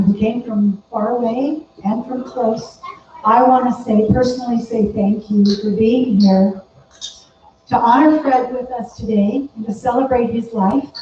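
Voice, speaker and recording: A woman speaks very slowly and haltingly, her mellow, somewhat high voice pausing often. She is recorded from a distance in a hugely echoing hall, the sound dull and muffled. A faint hum sits behind her. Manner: halting, with many ums and pauses. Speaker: family member welcoming memorial guests. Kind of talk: heartfelt speech at a memorial service. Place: large hall at a memorial gathering. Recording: dull, very echoey hall, mic far off.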